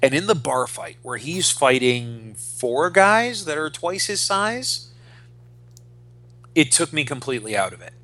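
A man's voice: a little high, big pitch swings, unhurried, bright, forceful tone. A man in his forties co-hosting a casual pop culture discussion, speaking emphatically, repeating phrases for stress and swearing freely. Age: 40s. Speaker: podcast co-host.

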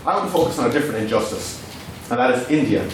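A man whose first language is Irish, speaking in a declamatory, rhetorical way as he argues a debate motion. He is picked up from across a big hall, so his voice echoes heavily. Steady hiss sits under him. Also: medium pitch, rapid-fire. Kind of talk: formal debate speech. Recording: echoey hall, distant mic, noisy.

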